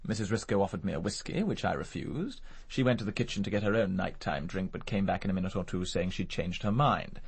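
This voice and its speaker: An urbane English murder suspect in a radio drama, sardonic and evasive. His low voice is slightly husky, and he rattles along with dry, flippant articulacy. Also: expressive, fast talker, few pauses, exaggerated voice. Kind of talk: BBC radio drama acting.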